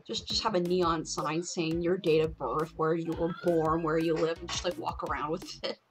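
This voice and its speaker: A woman's voice: deep voice, flat delivery, normal speed, breathy edge. A woman chatting casually and conversationally, with informal, sweary phrasing. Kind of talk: casual chat. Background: music.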